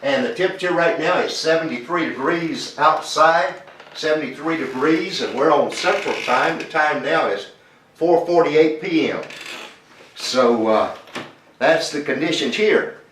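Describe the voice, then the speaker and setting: A man's voice: fairly high pitch, a little slow, a bit bright, slight background noise. A man chatting as an amateur radio operator, repeating details and spelling call signs phonetically. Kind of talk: conversational ham radio contact. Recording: roomy, echoing ham radio audio.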